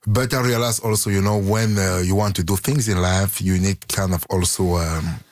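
Man from Cameroon, low voice, hesitant guest in a dry radio studio. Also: steady tone, normal speed, no echo. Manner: hesitant, many fillers, laughs often. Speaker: guest musician. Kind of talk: casual radio interview.

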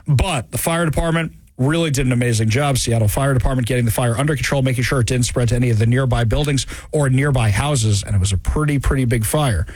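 A man hosting a talk radio show, chatting in an animated, wry way. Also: quick pace, husky, no echo, poor audio. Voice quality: high-pitched laugh. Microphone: studio microphone.

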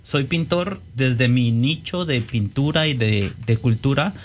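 A man's voice: medium pitch, unhurried, a little hiss or hum. A man with a Guatemalan accent, speaking conversationally as a guest painter introducing himself on a panel.

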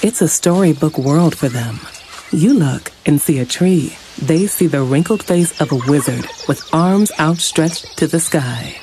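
A narrator reading a public service ad in soft, evocative storytelling. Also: mid-range voice, lively intonation, a little slow, a little hiss or hum. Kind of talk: gentle scripted narration.

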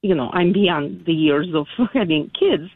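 A mature woman from Ukraine with an Eastern European accent, calling a radio show by phone, polite and halting in her speech. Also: lowish voice, expressive, a crowd in the background. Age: middle-aged or older. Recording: tinny, dry phone line.

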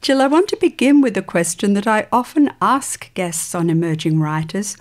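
A woman hosting a program, reading a formal guest introduction and asking questions in a clear, composed way. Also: mid-range voice, expressive, moderate pace, darkish tone. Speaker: radio program host.

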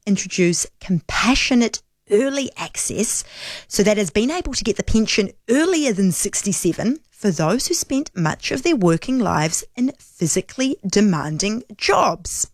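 A woman's voice: medium pitch, lively intonation, normal speed, dry recording, studio quality. A woman from Auckland with a New Zealand accent, hosting a live talkback phone-in in a chatty style. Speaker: talkback radio host.

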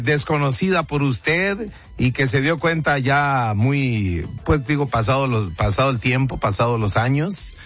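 A male radio host with a northern Mexican accent and a fairly high voice chats colloquially with his audience, often repeating himself for emphasis. The sound is dull and lo-fi, with light music underneath. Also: fairly bright tone, no room sound. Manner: colloquial, repeats himself, talks directly to listeners. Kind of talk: casual call-in radio chat.

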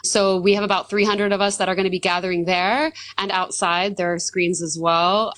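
A woman with a hoarse voice, talking casually as a football fan and guest in a live interview. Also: medium pitch, steady tone, moderate pace, a bit bright. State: hoarse from screaming.

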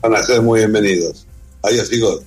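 A man's voice: lowish voice, normal speed, darkish tone, husky, faint music behind, poor audio. A man with a Buenos Aires accent, talking conversationally about his own experience as an interview guest. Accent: Argentine, Buenos Aires. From Argentina. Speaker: interview guest, whisky museum owner. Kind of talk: conversational interview, personal account.

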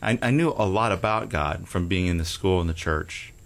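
A man from Houston, Texas, a guest telling how he came to faith, halting and full of fillers and restarts. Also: sits low, vocal fry. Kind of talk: casual testimony interview.

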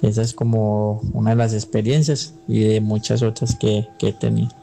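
A man's voice: fairly low pitch, flat delivery, moderate pace, gravelly, mellow, a little hiss or hum. A man with a Colombian accent, calling a radio show and speaking in a casual, personal storytelling way. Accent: Colombian Spanish.

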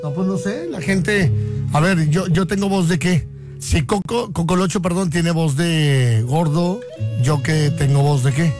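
High-voiced joking Mexican host. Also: male voice, measured pace. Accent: Mexican Spanish. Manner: joking, slangy, teasing, laughs often. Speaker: radio host. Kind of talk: casual call-in banter. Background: loud music.

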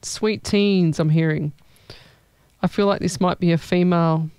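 A woman's voice: lowish voice, fairly even intonation, a little slow, darkish tone, smooth, no echo. A woman hosting a casual live chat as a psychic medium, talking in an informal, exclaiming way.

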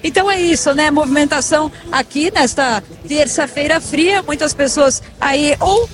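A woman reporting live from an outdoor street, with formal, clear news delivery. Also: high-pitched, moderate pace. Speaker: field reporter. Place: outdoors in a city neighborhood.

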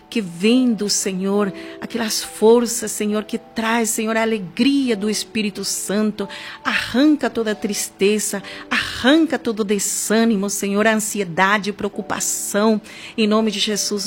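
A woman with a Brazilian accent leading a fervent, improvised evangelical prayer, with repeated invocations and drawn-out emphatic words. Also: a little high. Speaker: church prayer leader. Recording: music playing behind the voice. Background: background music.

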